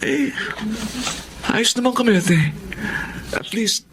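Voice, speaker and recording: A woman's voice: a little high, slow pace, a little hiss or hum. A woman playing a grateful, tired young mother in a Filipino radio drama. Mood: grateful, weary.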